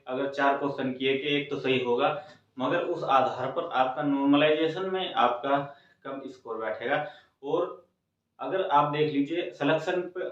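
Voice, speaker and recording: A man giving an informal, instructive explainer to students, repeating phrases for emphasis. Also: mid-range voice. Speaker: exam coaching host. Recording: very echoey room, distant mic, dull sound.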